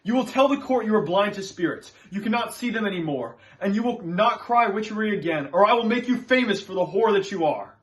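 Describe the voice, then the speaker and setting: A man's voice: high-pitched, expressive, brisk, hardly any pauses, a bit bright, voice pushed up. A man performing a dramatic stage monologue as an anguished, defiant Puritan who clings to his name, in an emphatic, impassioned delivery. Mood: furious threatening. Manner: impassioned, emphatic, archaic phrasing.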